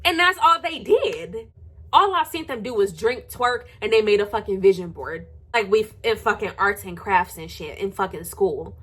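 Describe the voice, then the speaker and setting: A woman's voice: medium pitch, lively intonation, moderate pace, a bit bright, husky. An American woman vlogger delivering a heated gossip rant to viewers, blunt and confrontational, swearing heavily and repeating phrases for sarcastic emphasis.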